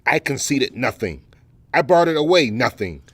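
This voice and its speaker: An actor playing a dignified, proud Southern educator and orator in an audio play, speaking in a formal, measured, courteous way. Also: male voice, medium pitch, sing-song, breathy edge, no room sound. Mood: defensive. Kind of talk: dramatic audio play dialogue.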